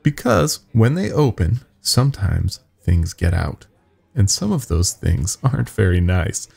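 A man voicing a nervous young company worker confessing secrets in a halting, grave horror-story telling. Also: low-pitched, sing-song, gravelly, a little mellow, breathy edge, faint music behind, heavily acted. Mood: confiding.

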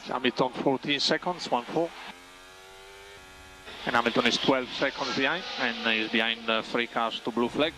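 A man's voice: medium pitch, moderate pace, noisy background. A man working as a race engineer, giving clipped, factual updates over team radio and repeating key phrases for clarity. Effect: team radio transmission.

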